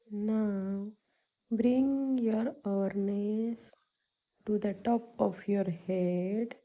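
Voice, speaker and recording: A woman guides a meditation in a very soft, dark and relaxed voice. She speaks extremely slowly, leaving long pauses between short instructions. Her delivery stays level and soothing throughout. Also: mid-range voice. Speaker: meditation guide, yoga teacher.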